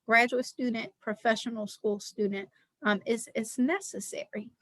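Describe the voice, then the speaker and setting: A woman's voice: on the high side, steady tone, normal speed, a bit bright, no echo. A woman guest on an interview podcast, speaking as a nonprofit director in an earnest, emphatic way and repeating key words for stress.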